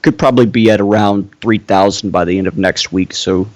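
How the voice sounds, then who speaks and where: A man's voice: lowish voice, fairly even intonation, a little fast, dry recording. A man from Wisconsin giving a casual conversational update as a guest on a show. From Wisconsin, United States.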